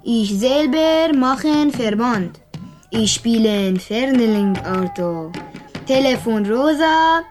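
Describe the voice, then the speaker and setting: A performer playing a stubborn young refugee boy in a radio drama, speaking halting, broken learner's German. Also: medium pitch.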